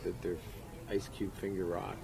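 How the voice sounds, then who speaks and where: A middle-aged man from Canada chats casually as a band member in an interview. His voice is low and gravelly, with clear crowd noise around him. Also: flat delivery, moderate pace, poor audio. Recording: busy festival background chatter.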